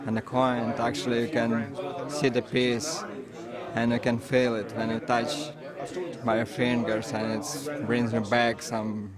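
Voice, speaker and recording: Russian-accented man, even pitch, crowd chatter. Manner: halting, searching for words in non-native English. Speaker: engraver, coin carver. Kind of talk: casual interview talk. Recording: busy outdoor crowd chatter behind.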